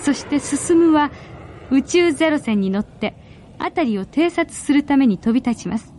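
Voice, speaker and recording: A woman narrating a radio drama in a deliberate, expressive storytelling manner, as in a 1970s broadcast. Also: sits high, background noise.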